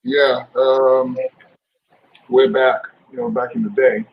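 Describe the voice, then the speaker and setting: A middle-aged man, a guest actor chatting casually on a video call, relaxed and jokey. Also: mid-range voice, slow pace, vocal fry, lo-fi sound. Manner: relaxed, laughs often, jokey. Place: indoors, room with curtains. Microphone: webcam mic on video call.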